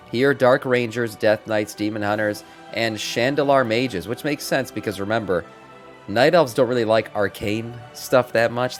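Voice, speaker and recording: A middle-aged man hosting a gaming news stream, casually reading out updates with informal, mildly sweary asides to viewers. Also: lowish voice. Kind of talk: casual livestream commentary with some reading aloud. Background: background music.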